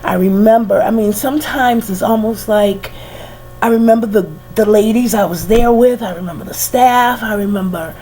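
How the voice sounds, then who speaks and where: Slow-talking tired woman with a cold. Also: fairly high pitch, background noise. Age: middle-aged. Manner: sighing, laughing, many "you know" fillers. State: stuffed up with a head cold. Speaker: vlogger. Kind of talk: casual reflective monologue.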